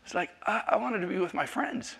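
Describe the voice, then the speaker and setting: A man's voice: sits high, fairly quick, creaky, fairly bright tone, breathy edge. A man in his fifties from South Africa, a native Afrikaans speaker with an American accent, giving a personal testimony at a church class in a rambling, self-interrupting, jokey way. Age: 50s.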